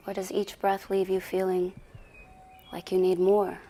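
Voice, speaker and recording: A woman leading a guided meditation in a soothing, deliberate way with long pauses, her soft voice often sinking toward a whisper. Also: mid-range voice, monotone. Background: music.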